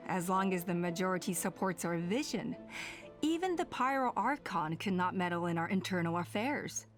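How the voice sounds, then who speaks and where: A woman playing a calculating clan leader and villain in a video game, measured, condescending and commanding, with music behind her. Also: fairly low pitch, expressive, lightly acted. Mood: smug, persuasive. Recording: game dialogue over background music.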